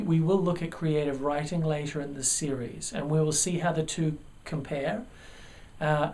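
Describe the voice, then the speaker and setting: A man's voice: on the high side, a little mellow. New Zealand man teaching a writing lesson. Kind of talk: video lesson.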